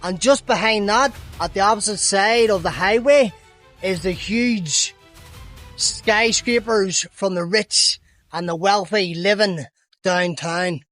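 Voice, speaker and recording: A man's voice: high voice, fairly bright tone. A man with a Belfast Northern Irish accent, presenting an upbeat travel vlog and interviewing people outdoors in a city. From Northern Ireland. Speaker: travel vlogger.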